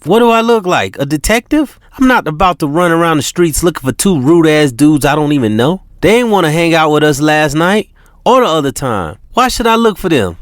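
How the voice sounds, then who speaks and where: Man putting on a high, brash street-smart young woman, loud and lively, dry close sound. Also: no echo. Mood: indignant. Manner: loud, fast, indignant.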